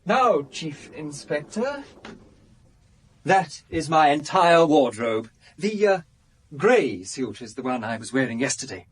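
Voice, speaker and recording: Fussy, slightly husky genteel decorator. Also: male voice, fairly high pitch, very animated, slow pace, heavily acted. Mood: fussy, obliging. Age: 30s. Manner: precise, politely stiff, fussy. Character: fastidious, shy, genteel male architect-decorator in his thirties. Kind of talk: radio drama acting.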